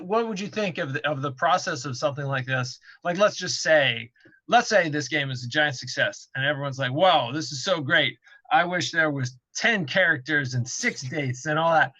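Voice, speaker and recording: A man's voice: a little high, normal speed, bright, forceful tone, no echo, dull audio. A man hosting a casual unscripted interview, feeling his way into questions and rephrasing them as he goes. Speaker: host interviewing a colleague.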